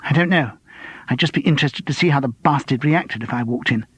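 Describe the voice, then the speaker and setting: A man's voice: mid-range voice, fairly quick, a bit bright, breathy edge, dry recording. A man voicing a bold, bitter young woman in an audiobook, speaking in a hissed half-whisper. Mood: sardonic, hushed. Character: bold, bitter, foul-mouthed young woman itching for confrontation. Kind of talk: audiobook character dialogue.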